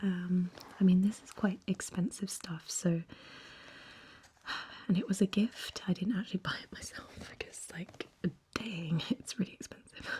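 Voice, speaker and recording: A young woman talks in a breathy near-whisper, unhurried and halting. The tone is mellow and gentle, with a slight croak from being hoarse. It sounds like a close-up, soft-spoken ASMR makeup chat. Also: lowish voice. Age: young adult. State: slightly hoarse after illness. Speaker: ASMR vlogger.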